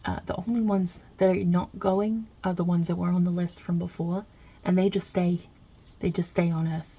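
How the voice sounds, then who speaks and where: A woman voicing God as a wry, touchy Italian mob boss in an ASMR roleplay, with a husky, breathy voice and a put-on Italian-American New York accent. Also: lowish voice, little pitch movement, normal speed. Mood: wry, frank. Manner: casual, wry, chatty, with softly murmured asides.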